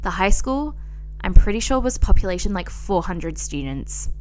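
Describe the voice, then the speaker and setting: A woman's voice: mid-range voice, sing-song, normal speed, slight background noise, rough recording. A young woman with an Australian accent chatting casually on her own as a podcast host, informal, sweary and self-deprecating. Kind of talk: casual solo chat, personal storytelling.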